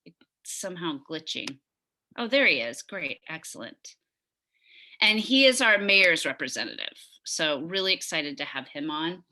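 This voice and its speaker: A woman's voice: low-pitched, expressive, a little slow, bright, forceful tone. A woman chairing a neighborhood committee meeting on a video call, talking enthusiastically with many restarts. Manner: talkative, enthusiastic, trailing restarts. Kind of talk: virtual community meeting.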